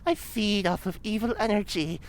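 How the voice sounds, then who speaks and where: A woman voicing a creepy, ravenous old demon woman in an audio drama, with ominous, theatrical, archaic phrasing and a gravelly creak. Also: medium pitch, moderate pace, slight background noise, lightly acted. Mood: ominous, hungry.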